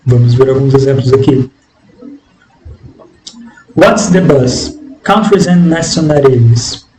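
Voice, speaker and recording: A Brazilian man gives a language lesson in a mellow, soft-edged voice. He reads the material out at a slow, deliberate pace and explains it step by step. The room around him rings with echo. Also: medium pitch, expressive. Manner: deliberate, didactic, repeating phrases in translation. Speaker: English teacher. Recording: echoey room with faint background noise.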